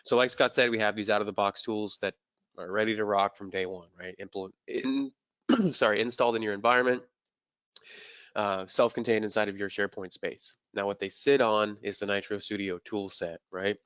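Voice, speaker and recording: A man presenting a software demo in a casual, upbeat way, his voice slightly raspy from allergies. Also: on the low side, fairly even intonation, fairly quick, no echo.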